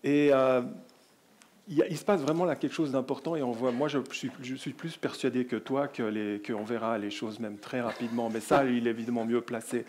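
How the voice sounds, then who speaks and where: Swiss French panel moderator, jovial. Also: male voice, medium pitch, steady tone, a little fast, darkish tone, a little hiss or hum. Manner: jovial, hesitant with many fillers. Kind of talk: introducing panelists. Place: conference hall.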